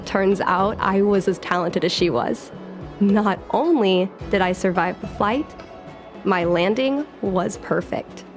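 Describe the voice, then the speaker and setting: A woman's voice: medium pitch, slow, lightly acted. A woman playing a spirited, earnest young fox-woman who dreams of piloting, in a video game. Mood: proud, wistful. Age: young adult. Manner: perky, expressive, earnest. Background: music.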